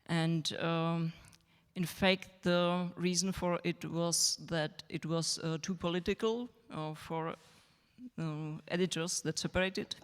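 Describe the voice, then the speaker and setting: A woman talks in slow, level English as a panel guest, stopping often to find her words. Her voice is low for a woman and smooth. A long hall reverb trails behind her. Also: fairly even intonation. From Czech Republic. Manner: hesitant, many fillers. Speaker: panelist, magazine editor. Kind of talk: panel discussion remarks.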